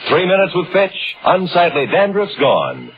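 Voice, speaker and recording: A man's voice: high-pitched, huge pitch swings, a little slow, soft background music. A man reading a 1950s radio commercial in an emphatic, punchy hard-sell style. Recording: muffled, noisy old radio broadcast.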